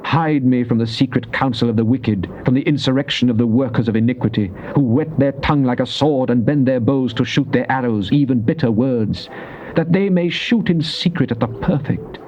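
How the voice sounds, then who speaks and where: An actor playing a devout, fervent psalmist, declaiming in a solemn, measured way in a dramatized scripture reading. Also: male voice, mid-range voice, darkish tone, lightly acted. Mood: pleading, grave. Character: devout psalmist, fervent man praying to God. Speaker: scripture reader. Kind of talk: dramatized King James Bible reading. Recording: muffled old cassette with faint hiss.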